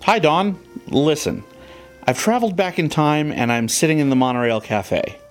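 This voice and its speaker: Male adventurer for a casual audio drama, slightly high, animated delivery, light music. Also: normal speed.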